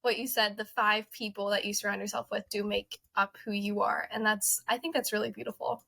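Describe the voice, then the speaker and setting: A woman's voice: medium pitch, level tone, brisk, creaky, pushed and bright, dry recording. A young adult woman, chatting casually as a podcast co-host. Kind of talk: casual chat.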